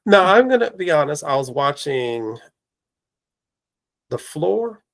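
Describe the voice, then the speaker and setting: A man hosting a casual podcast chat, his voice raspy from allergies, telling lively stories and acting out quoted remarks. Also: fairly high pitch, slow pace. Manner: animated storyteller, acts out quoted exchanges. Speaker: podcast host. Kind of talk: casual conversation.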